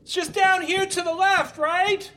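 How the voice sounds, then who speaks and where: High-pitched, flustered, anxious crewman. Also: steady tone, a little slow, sharp tone, slight background noise, full character voice. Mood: uneasy, dazed. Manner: flustered, stammering. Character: anxious, confused, well-meaning crew member. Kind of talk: improvised dark comedy sci-fi audio drama.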